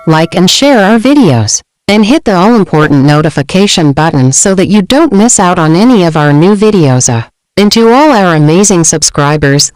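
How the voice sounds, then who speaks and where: A woman hosting a channel, reading an informational script in a steady, measured way. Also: lowish voice, slight background noise. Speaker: channel host.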